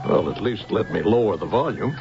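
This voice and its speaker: An actor playing a wary, courteous old scientist in a radio drama, hesitant and evasive in manner. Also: male voice, on the low side, expressive, brisk, gravelly, over music. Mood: guarded. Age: older. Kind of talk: American old-time radio drama acting. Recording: muffled vintage radio broadcast, faint hiss.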